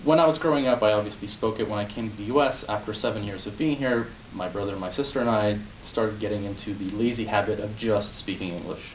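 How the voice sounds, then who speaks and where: Polish-born young man, native Polish speaker, low voice, casual prepared speech, distant roomy dull recording. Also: quick pace, few pauses, slight background noise. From Poland. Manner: conversational, with fillers and restarts. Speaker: club speaker.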